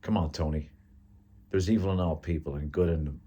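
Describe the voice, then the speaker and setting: A man's voice: low voice, fairly even intonation, brisk, dark tone, breathy edge, muffled recording. A man with an American accent voicing a teasing, easygoing drinking buddy in a story. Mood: conciliatory.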